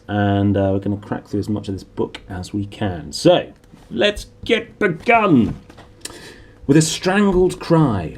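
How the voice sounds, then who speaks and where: Animated, husky male storybook reader. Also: fairly low pitch. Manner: dramatic, playful delivery. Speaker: book narrator. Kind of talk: animated storybook read-aloud.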